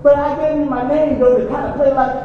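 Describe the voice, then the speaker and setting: A man's voice: very high-pitched, flat delivery, a little fast, a little hiss or hum. A man playing a drunk, boastful father in a stage play, stammering and cackling as he taunts. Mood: mocking. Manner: slurring, cackling, stammering repeats. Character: drunk, boastful, teasing older father figure. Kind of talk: stage play comedy-drama. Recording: echoey, dull live hall recording.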